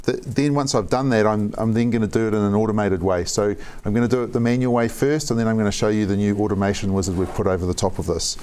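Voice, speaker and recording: A man in his forties with a New Zealand accent, walking through a software demo methodically in a conference room. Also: on the low side, steady tone, fast talker, few pauses, a little hiss or hum. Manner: plain, methodical explaining. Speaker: software architect giving a demo. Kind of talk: live software demo presentation.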